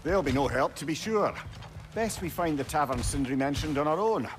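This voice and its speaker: An actor playing a witty, learned older advisor with a Scottish accent in a video game, wry and eloquent. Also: male voice, on the high side, lively intonation, fairly quick, soft background music, lightly acted. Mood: wry, chatty.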